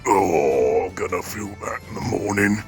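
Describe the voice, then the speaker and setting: A performer voicing a brutish, blustering villain hunter in a comic fan dub, gravelly and threatening. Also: sits low, unhurried. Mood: pained grumbling. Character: brutish villain hunter, menacing, blustering male beast rider. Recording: loud music behind the voice.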